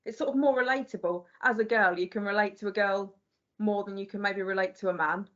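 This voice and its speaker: Woman interviewer, quick talker, lots of fillers, dull lo-fi audio. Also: mid-range voice, steady tone, fairly bright tone. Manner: fast, with many fillers like sort of. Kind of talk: casual interview.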